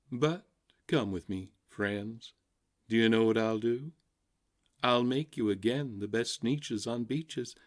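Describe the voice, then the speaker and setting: A man voicing a sly, eager fix-it salesman creature in a storybook, with grand, persuasive patter. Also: medium pitch.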